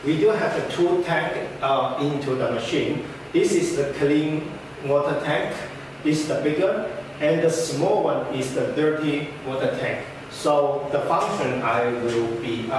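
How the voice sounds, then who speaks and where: A young man presents a product walkthrough to a room. He pauses often with "uh" fillers and uses phrasing. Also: on the high side. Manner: halting, frequent "uh" fillers, non-native phrasing. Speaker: product trainer. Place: indoor meeting room with projector screen. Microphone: far camera. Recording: echoey room from far off, background noise.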